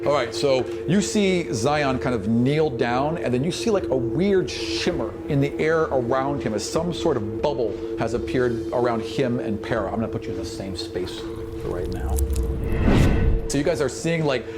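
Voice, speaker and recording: Casual game master, music behind him. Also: male voice, mid-range voice, lively intonation, normal speed. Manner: colloquial, acts out sound effects. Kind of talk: improvised tabletop game narration, casual.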